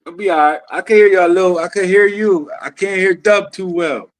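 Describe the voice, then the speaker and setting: Male guest, high voice, remote caller, laid-back slang chat. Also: moderate pace. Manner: relaxed slangy talk, calls people cuz. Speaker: podcast guest. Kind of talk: casual chat. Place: calling in remotely.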